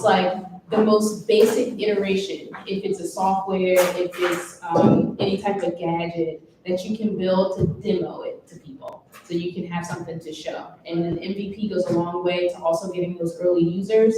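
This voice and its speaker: A woman's voice: fairly low pitch, level tone, normal speed, a little mellow. A woman founder explaining things in a practical, casual way on a panel. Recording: echoey room from far off, faint noise.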